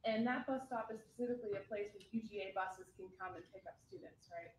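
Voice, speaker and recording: A woman attorney questions a witness in a courtroom, pressing leading questions and pausing on small ums. Her voice sits in a middle range and carries the room's echo, with a faint hum of ambience underneath. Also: medium pitch, fairly even intonation. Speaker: defense attorney. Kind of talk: courtroom cross-examination. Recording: echoey courtroom audio.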